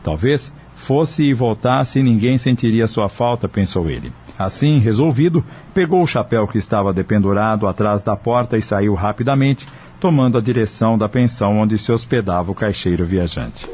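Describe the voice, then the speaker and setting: A man's voice: lowish voice, moderate pace, hardly any pauses, darkish tone, a little hiss or hum. A man reading scripted narration for an audio novel. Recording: dull lo-fi radio drama sound.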